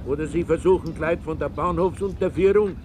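A man's voice: on the high side, a little fast, a little mellow, slight background noise, close to an everyday voice. A man with a Bavarian accent in a naturalistic radio play, playing a stubborn, aggrieved boat renter who complains. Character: grumbling, indignant small-time Bavarian boat renter.

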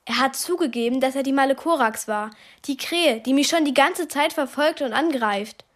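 A woman's voice: sits high, moderate pace, close to an everyday voice. An actress playing an earnest, urgent twelve-year-old girl in a radio play. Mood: anxious, earnest.

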